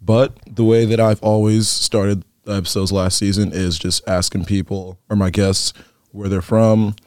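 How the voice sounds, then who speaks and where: A man's voice: fairly low pitch, fairly even intonation, moderate pace, a little mellow, no echo, studio quality. A young man hosting a student podcast, asking his guest easygoing, chatty questions. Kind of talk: casual interview hosting.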